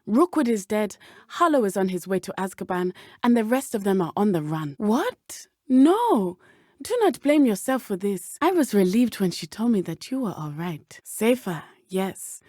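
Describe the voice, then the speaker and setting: A woman's voice: mid-range voice, sing-song, moderate pace, mellow, no echo, studio quality. An actress playing an earnest, brave and loyal teenage witch in a video game, speaking in formal, careful phrasing. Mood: alarmed, urgent. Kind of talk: video game character dialogue.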